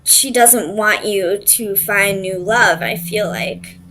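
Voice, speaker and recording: A woman with a medium-pitched voice reads tarot in an informal way. She addresses the listener directly, as a host would. Her phrasing is loose and tentative, as if she is working out each thought aloud. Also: slow, sharp tone, a little hiss or hum, low-quality recording.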